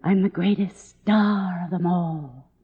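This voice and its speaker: An actress playing a deluded, imperious faded movie star of fifty, declaiming grandly and huskily in 1950s radio melodrama style. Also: female voice, on the low side, slightly rough. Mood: unhinged, grand. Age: 50s. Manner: grandiose, slow theatrical declaiming. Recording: tinny vintage radio drama recording.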